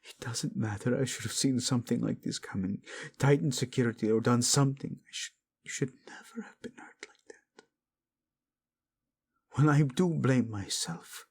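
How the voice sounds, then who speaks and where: A man's voice: mid-range voice, moderate pace, vocal fry, a little mellow, slightly rough. A man playing a devoted, protective prince wracked with guilt, pleading tenderly and intensely in a romantic audio roleplay, often sinking toward a whisper. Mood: guilty, loving.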